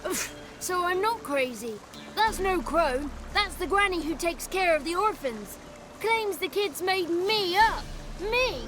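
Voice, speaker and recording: A woman's voice: very high-pitched, lively intonation, unhurried. A woman voicing a cheeky, childlike male swamp creature in a video game, talkative and theatrical, with boastful, florid wordplay. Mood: chatty. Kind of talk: video game character acting.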